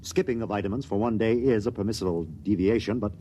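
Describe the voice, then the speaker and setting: A man's voice: fairly low pitch, fast talker, dark tone, natural voice. Man playing a rigid young superior. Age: young adult. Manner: stiff, dogmatic, dryly commanding. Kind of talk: 1950s radio acting. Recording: faint hiss, 1950s radio transcription.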